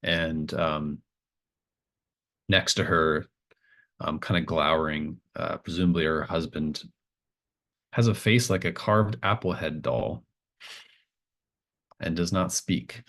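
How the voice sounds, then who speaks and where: Low-voiced man running a tabletop game. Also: gravelly, a bit bright. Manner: halting, conversational, pieces scenes together aloud. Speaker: game master. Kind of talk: improvised tabletop game narration.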